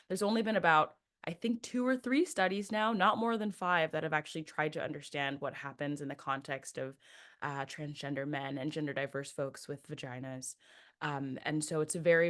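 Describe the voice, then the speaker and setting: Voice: mid-range voice, a bit bright. A researcher presenting findings in a webinar, explaining steadily with frequent ums. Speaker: researcher panelist.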